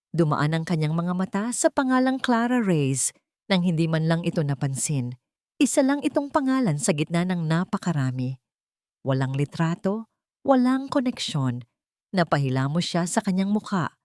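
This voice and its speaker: A Filipino woman narrates a romantic drama in a slightly low voice. The sound is dry and close, with very little room in it. Her delivery is that of a scripted story told for listeners. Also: a little mellow, no echo.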